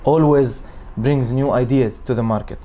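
A man's voice: medium pitch, normal speed, mellow, a little hiss or hum. A man who is a native Arabic speaker, formally hosting an interview show.

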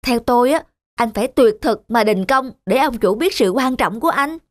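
Woman voicing a high-pitched, grumbling, scheming duck. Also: normal speed, dry recording, clean studio sound, lightly acted. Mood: insinuating. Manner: complaining, insinuating. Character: grumbling, scheming female duck, envious and meddlesome. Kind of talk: animated fairy-tale dialogue.

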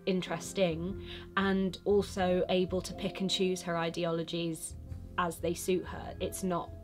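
A woman's voice: fairly low pitch, level tone, unhurried. A young British woman, an actress, chatting casually in an interview. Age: young adult. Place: studio room, indoors. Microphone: lapel mic. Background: music.

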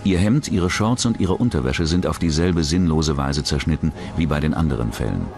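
A man's voice: rumbling low, moderate pace, darkish tone, soft background music. A man reading scripted true-crime narration as the narrator of a documentary.

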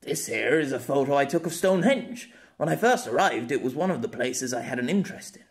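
A man's voice: mid-range voice, lively intonation, fairly quick, natural voice. A man playing an awkward, good-natured male storyteller explaining himself in an audiobook, hesitant and rambling. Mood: explanatory.

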